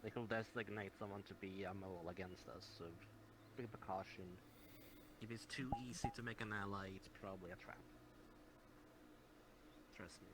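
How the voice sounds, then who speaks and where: A man playing a solemn, wary guild adventurer in an online fantasy role-play, speaking in a measured, formal way. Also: on the low side, steady tone, lightly acted. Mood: wary, calculating. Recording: noisy online voice-chat audio.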